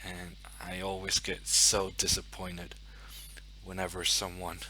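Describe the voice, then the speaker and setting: A man's voice: low voice, monotone, unhurried, bright, forceful tone, rough recording. A young man with a Norwegian accent chatting casually on a live stream, thinking aloud and muttering to himself as he sets up. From Norway. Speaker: chess streamer.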